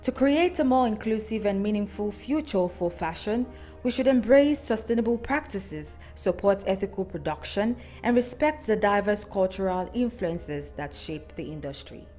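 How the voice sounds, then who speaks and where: A woman reads a news package in an even, formal news cadence. Her pitch sits in a middle range. Soft music plays quietly under her voice. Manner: measured, formal read delivery. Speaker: news reporter. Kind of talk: scripted news report voice-over.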